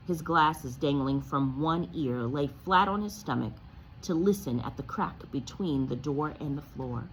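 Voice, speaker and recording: Female read-aloud narrator: slightly low, lively intonation, expressive children's story delivery, faint noise. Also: low-quality recording.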